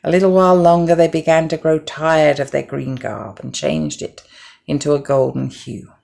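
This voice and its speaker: A middle-aged woman narrating a children's story from a script in a measured, gentle way. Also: low voice, steady tone, creaky.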